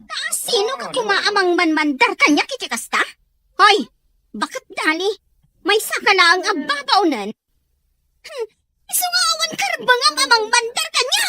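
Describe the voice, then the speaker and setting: A woman's voice: very high voice, big pitch swings, moderate pace, heavily acted. A woman playing an insolent, defiant, drunken young daughter in a radio-style drama, brash and mocking. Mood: mocking, belligerent. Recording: loud background noise with clicks.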